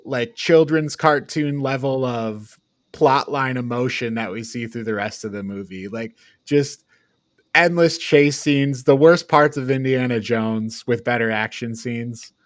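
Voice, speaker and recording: A man's voice: mid-range voice, no room sound, clean studio sound. A man co-hosting a podcast, giving a casual, opinionated film review and often searching for his words. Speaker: podcast co-host.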